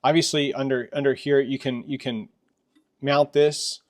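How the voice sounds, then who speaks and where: A man's voice: medium pitch, flat delivery, moderate pace, darkish tone. A middle-aged man hosting a casual product review in a workshop, conversational and often restating his points. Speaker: host of a product review channel. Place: indoors in a workshop.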